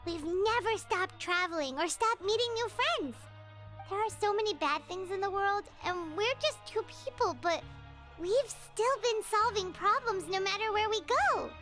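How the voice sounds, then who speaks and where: A woman voicing a small, cheery, excitable childlike fairy companion with a squeaky voice in a video game. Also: moderate pace, soft background music, exaggerated voice. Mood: earnest. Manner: speaks of self in third person.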